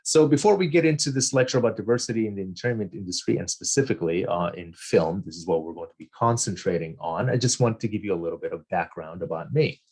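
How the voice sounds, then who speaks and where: Lowish-voiced guy from jordan teaching a class, slow careful, lots of ums. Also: a little mellow, husky. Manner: measured, repeats key figures slowly; frequent ums and restarts. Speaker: community college lecturer. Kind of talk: recorded lecture.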